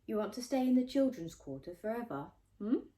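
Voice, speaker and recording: A woman voicing a firm, fond older nanny in a storybook, with a brisk, coaxing, gently teasing manner. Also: fairly high pitch, sing-song, voice pushed up.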